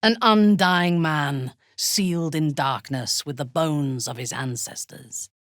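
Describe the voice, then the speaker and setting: An actress voicing a ruthless, imperious dark elf warband commander in a video game, with a commanding, deliberate and menacing delivery. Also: female voice, sits low, dry recording, clean studio sound. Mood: haughty, measured. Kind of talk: dramatic video game voice acting.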